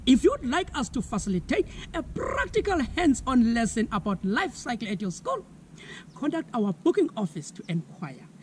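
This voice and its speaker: A man in his thirties with a South African accent, giving a slow, clear science lesson for children outdoors, asking viewers to spell words. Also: very high-pitched, sing-song, slight background noise. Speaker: environmental educator. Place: outdoors on a lawn.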